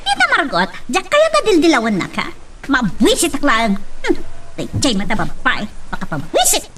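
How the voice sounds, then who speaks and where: A woman acts out a spoiled, jealous younger woman in an Ilocano radio drama. Her voice is high and swings wildly as she complains with an Ilocano accent. She sounds irritable and whiny. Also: wildly swooping pitch, normal speed, heavy background noise. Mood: annoyed.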